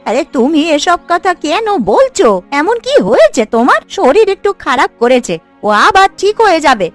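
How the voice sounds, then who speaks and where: Woman acting a high, animated village housewife. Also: normal speed, no room sound, faint music behind. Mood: worried. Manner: expressive, nagging, gossipy. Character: chatty, fretful, bossy village housewife. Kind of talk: cartoon voice acting.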